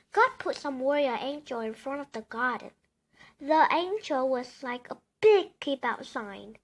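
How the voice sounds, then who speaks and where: A female reader with a very high voice reads a children's storybook aloud. She takes it slowly, phrase by phrase, as bedtime story narration.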